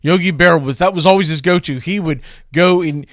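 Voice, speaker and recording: A man's voice: a little high, brisk, dry recording, studio quality. A man hosting a baseball radio show, introducing classic game calls in a conversational, opinionated way.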